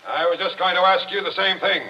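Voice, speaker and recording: An actor playing a brash, quick-talking male police captain in 1940s radio drama on a muffled old broadcast. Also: high-pitched, steady tone, breathy edge.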